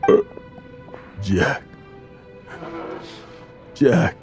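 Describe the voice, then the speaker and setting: Weary old adventurer over music. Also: male voice, mid-range voice, huge pitch swings, very slow, darkish tone, exaggerated voice. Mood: regretful. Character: weary old drunken adventurer, bitter, guilt-ridden man. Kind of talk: dramatic video game voice acting. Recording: music under the voice.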